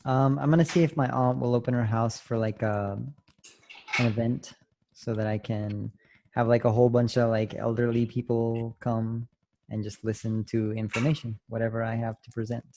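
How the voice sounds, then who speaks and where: A young man chatting casually on a video call from his living room, relaxed and a bit hesitant with lots of fillers. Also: mid-range voice, normal speed, background noise. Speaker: new business recruit. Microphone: desktop studio mic on video call.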